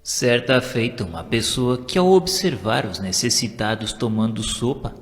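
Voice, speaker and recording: A man with a Brazilian accent giving a scripted, solemn and expressive narration of spiritual stories. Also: medium pitch, normal speed. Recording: long reverb, music behind. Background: music.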